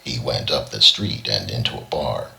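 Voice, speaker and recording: A man's voice: rumbling low, animated, normal speed, gravelly, pushed and bright, rough recording. A man reading a scripted short story as an audiobook narrator. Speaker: audiobook reader.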